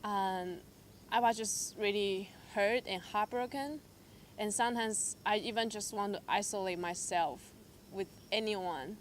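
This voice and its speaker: A woman's voice: medium pitch, measured pace. Young woman from taiwan, english speaker, personal testimony, lots of ums and likes, slight background noise. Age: young adult. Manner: hesitant, filler-heavy storytelling with lively quoted asides. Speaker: church member sharing testimony.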